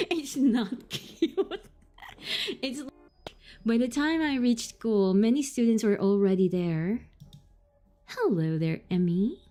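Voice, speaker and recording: A giggly woman streamer who reads game text aloud in an unhurried way, her voice sitting a little high. She bounces all over her range and breaks into startled curses when the game scares her. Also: lively intonation, dark tone, faint music behind. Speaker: streamer playing a horror game. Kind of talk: live game commentary and reading on-screen text aloud.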